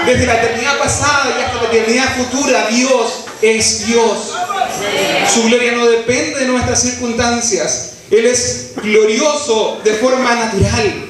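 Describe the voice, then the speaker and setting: A man's voice: extremely high pitch, heavy reverb, rough recording. A man from Chile with a Chilean accent, preaching a solemn, emphatic sermon and singing a hymn a cappella in a church. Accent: Chilean Spanish. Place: church sanctuary.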